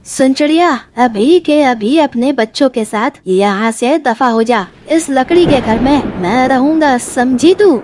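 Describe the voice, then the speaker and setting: A woman's voice: sits high, expressive, measured pace, hardly any pauses, a little mellow, slight background noise. A woman voicing a lazy, scheming male crow in a cartoon fable, with a taunting, bossy manner. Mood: threatening, bossy. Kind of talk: animated fable dialogue.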